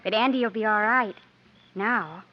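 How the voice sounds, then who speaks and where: A woman's voice: mid-range voice, moderate pace, lightly acted. A woman playing a tender, earnest and slightly hesitant young heroine in love, in 1950s radio drama style. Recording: muffled, noisy 1950s radio recording.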